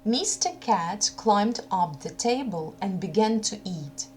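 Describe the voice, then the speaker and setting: A woman reading a folk tale for children in a deliberate, phrase-by-phrase way. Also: mid-range voice, expressive, darkish tone. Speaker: storyteller. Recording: noticeable background hum.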